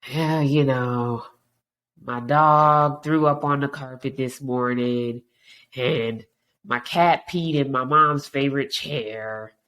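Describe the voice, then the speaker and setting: A woman's voice: a bass voice, steady tone, a bit bright, heavily acted. A woman doing a gloomy, whining coworker. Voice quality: stuffy. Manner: slow, droning complaints. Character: gloomy, constantly complaining coworker.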